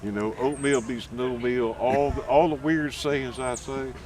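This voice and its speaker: A man's voice: mid-range voice, fairly even intonation, unhurried. A man with a Southern accent, an author talking loosely as an interview guest, full of homespun sayings. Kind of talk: casual interview chat. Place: outdoors at a rural gathering. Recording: noisy outdoor field recording.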